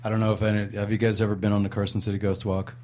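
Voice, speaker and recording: Male radio host, lowish voice, rambling and sarcastic, faint hum behind. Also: little pitch movement, very fast, darkish tone. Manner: rambling, sarcastic, many fillers. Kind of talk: casual radio chat. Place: radio studio in a historic house.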